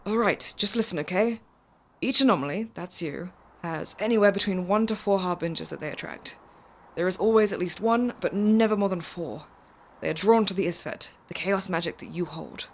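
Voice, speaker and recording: An actress in an audio drama playing a mysterious woman driver who is calm, insistent and reassuring. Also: fairly low pitch, moderate pace, a little hiss or hum, lightly acted. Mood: earnest, knowing.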